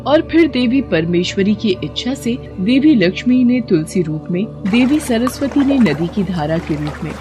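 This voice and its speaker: A woman's voice: a little high, expressive, moderate pace, hardly any pauses, over music. A woman reading scripted narration for a devotional story.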